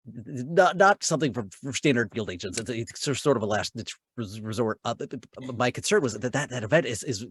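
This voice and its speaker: A man's voice: mid-range voice, expressive, brisk, a bit bright, slightly rough, no echo, clean studio sound. A man playing an anxious scientist in a tabletop game, stammering and repeating syllables as he explains. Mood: worried, halting. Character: anxious, stammering male research scientist and field agent.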